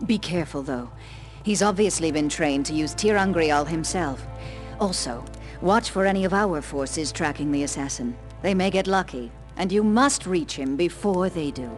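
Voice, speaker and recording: A woman playing the grave older leader of a sorceress order in a fantasy game, speaking in a measured, formal, authoritative way. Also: low voice, expressive, soft background music. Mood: grave, commanding. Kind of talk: scripted fantasy game acting.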